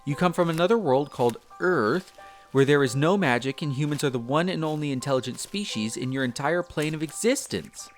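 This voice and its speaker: A man's voice: a little high, fairly quick, lightly acted. Man playing an earnest young elf explorer, music behind. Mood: thoughtful, nervous. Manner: hesitant, chatty, explains eagerly. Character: earnest, bookish young male elf explorer, easily flustered apprentice. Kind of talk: scripted roleplay audio drama addressed to the listener.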